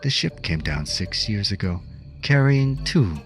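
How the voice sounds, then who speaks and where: Deep-voiced, quietly menacing agent. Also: male voice, very animated, soft background music. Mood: cool, businesslike. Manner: measured, quietly threatening. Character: coolly menacing male agent of a secret benefactor. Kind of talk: audio drama acting.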